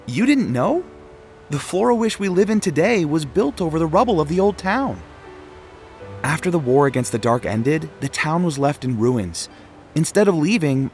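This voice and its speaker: A man playing a proud, polite, expressive street sweeper in a video game. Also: a little high. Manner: expressive, earnest. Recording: music under the voice.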